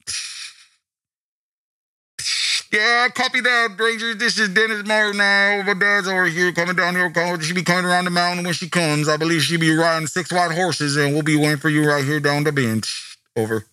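A man's voice: high voice, fairly even intonation, normal speed, pushed and bright, clear, resonant tone, no room sound, voice pushed up. A man doing goofy walkie-talkie radio chatter. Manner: hissing radio static sounds, drawling.

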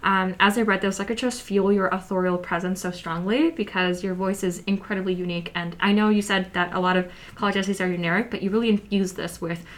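Teen girl hosting school podcast, friendly, asks questions kinda evenly, mid pitch. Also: fairly even intonation. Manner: friendly, warm praise, measured questions. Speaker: student podcast host. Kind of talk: interview questions, partly read.

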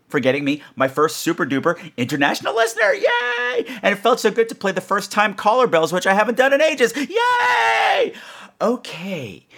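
Male podcast host, playful and campy, very animated intonation, casual chat. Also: a little high. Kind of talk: casual chat answering listener voicemails.

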